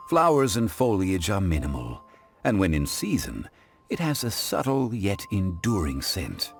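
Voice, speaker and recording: An actor playing a composed, erudite gentleman in an anime game dub, speaking formally and courteously, as if giving a measured lecture. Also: low voice, full character voice.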